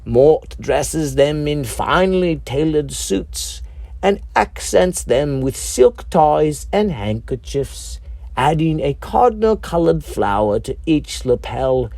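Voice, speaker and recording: Male audiobook narrator for dark comedy: slightly high pitch, slow dramatic delivery, deliberate phrasing, dry sound. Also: lively intonation, vocal fry, no room sound. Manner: deliberate, dramatic storytelling with measured pauses. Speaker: author reading own script.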